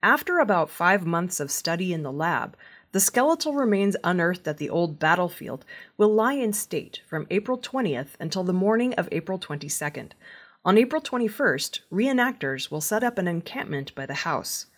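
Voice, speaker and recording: A woman presents scripted news in a measured, formal way. Her voice sits a little low for a woman and has a husky edge. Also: very animated. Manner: measured, formal newsreader delivery.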